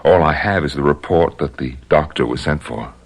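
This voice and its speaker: A man's voice: very deep voice, gravelly, full character voice. An actor playing a cold, courteous, threatening captor who torments his prisoners, in old-time radio drama style. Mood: smoothly menacing. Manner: coolly courteous, deliberate, threatening. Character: cold, menacing male torturer demanding a secret formula.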